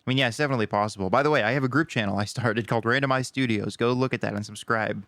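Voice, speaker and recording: A young man co-hosting a podcast, chatting casually in a relaxed, rambling way with many fillers. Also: mid-range voice, racing, no echo. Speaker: podcast co-host. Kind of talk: casual chat.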